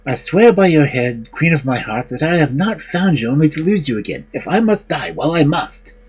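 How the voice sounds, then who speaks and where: A man's voice: on the high side, very animated, normal speed, husky, voice pushed up, full character voice. A man voicing a devoted, grieving young male hero from a fairy tale, earnest and determined.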